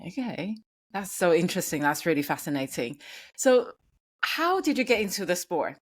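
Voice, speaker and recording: Deep-voiced woman hosting an interview. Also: very animated, normal speed. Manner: encouraging, curious, rambling questions with fillers. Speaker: podcast host. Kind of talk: casual interview conversation.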